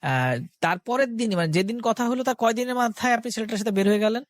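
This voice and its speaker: A woman's voice: on the high side, quick pace, no room sound, studio quality. A woman with a Bangladeshi accent interviewing conversationally as a show host, recapping and asking probing questions.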